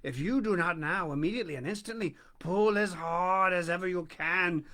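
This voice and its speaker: A man voicing a pompous, wordy python snake who speaks in a deliberate, ornate way. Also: high voice, bright, forceful tone.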